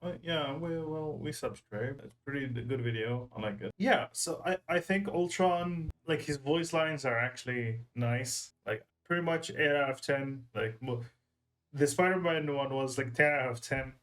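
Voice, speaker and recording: A man's voice: medium pitch, normal speed, fairly bright tone. A man hosting a reaction video in a casual, rambling way, repeating phrases for emphasis.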